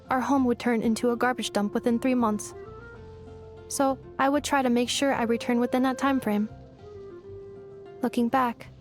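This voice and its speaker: A woman voicing a polite, analytical robot maid in a video game, speaking in precise, measured, formal sentences, calm and earnest. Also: fairly high pitch, lightly acted. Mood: calm, reflective. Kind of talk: scripted game character voice lines. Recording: music behind the voice.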